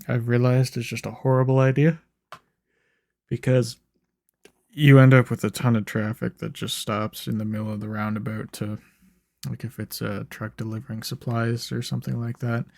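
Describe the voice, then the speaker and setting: A young man giving laid-back gameplay commentary in a flat, monotone ramble, his voice a bit hoarse and rough. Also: no echo. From Canada. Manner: monotone, low-key rambling. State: slightly hoarse. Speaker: gaming YouTuber. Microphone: desk microphone.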